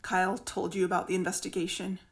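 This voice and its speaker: A woman's voice: lowish voice, level tone, quick pace, slightly rough, dry recording. A woman playing a determined investigator in a fiction podcast drama, earnest and insistent. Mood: confrontational. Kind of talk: fiction podcast drama, confessional narration and dialogue. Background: music.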